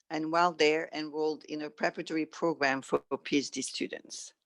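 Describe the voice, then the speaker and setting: A middle-aged woman from France with a French accent, reading a written personal story aloud as a guest. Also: low voice.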